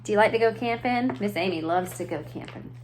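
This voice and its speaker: A woman's voice: mid-range voice, brisk, poor audio. A woman preschool teacher reading a story aloud to young children, adding simple explanations and questions. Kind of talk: children's read-aloud with asides.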